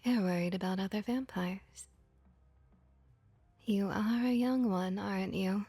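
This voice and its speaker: Soft breathy woman acting as a vampire barmaid, slow, gentle, comforting, friendly, ASMR roleplay, often near a whisper. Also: medium pitch, dry recording, faint music behind. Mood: soothing, reassuring. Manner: gentle, unhurried, reassuring.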